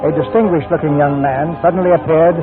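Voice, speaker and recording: A man hosting and narrating a 1940s radio theater show in a formal, measured, showman-like scripted style. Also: fairly high pitch, fairly even intonation, over music. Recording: tinny 1940s radio recording.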